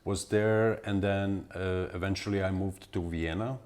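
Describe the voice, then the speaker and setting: A man in his forties from Serbia with a Serbian accent, answering interview questions in halting speech full of fillers and restarts. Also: low-pitched, fairly even intonation, darkish tone. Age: 40s. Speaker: guest musician.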